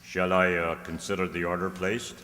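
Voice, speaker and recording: An actor playing a smooth, confident inventor selling his creations in a radio play, formal and measured. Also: sits low, level tone, bright, forceful tone. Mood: suave, assured. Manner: measured, formal salesman's politeness, pausing with uhs. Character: smooth, courteous, confident male maker of robot doubles. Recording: echoey hall, long reverb.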